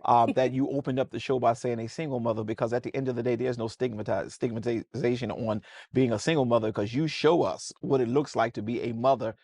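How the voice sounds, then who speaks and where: Folksy preacherly American pastor hosting. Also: male voice, medium pitch, fairly even intonation, fast, few pauses, a little mellow, slightly rough. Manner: folksy, preacherly, repeats words when starting sentences. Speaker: pastor and show host. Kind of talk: casual interview talk show.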